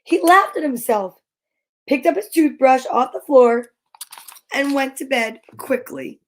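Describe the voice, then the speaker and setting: A woman reading a children's picture book aloud in a theatrical, suspenseful, drawn-out way. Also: high voice. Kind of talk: dramatic children's story read-aloud.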